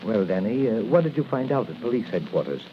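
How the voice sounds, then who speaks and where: An actor playing an earnest, inquisitive doctor, the hero's confidant, in 1940s radio style on a muffled recording. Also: male voice, fairly low pitch, very animated, fast. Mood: curious, concerned.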